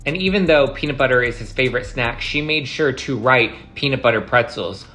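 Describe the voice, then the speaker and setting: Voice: medium pitch, brisk, bright, forceful tone. A speaker recounting a scene in a wry way.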